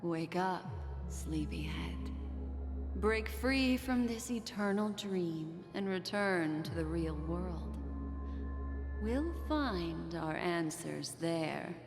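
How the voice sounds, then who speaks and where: An actress playing an enigmatic, composed woman guide in a video game, speaking in a measured, deliberate and coy way. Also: lowish voice, big pitch swings, exaggerated voice. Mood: hushed, coaxing. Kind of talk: dramatic video game narration. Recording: long reverb, music behind.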